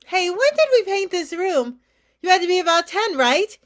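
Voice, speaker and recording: A woman's voice: very high-pitched, sing-song, normal speed. An actress playing an anxious, guilt-ridden mother of a college-age daughter in a staged play reading, pleading and insistent. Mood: nostalgic.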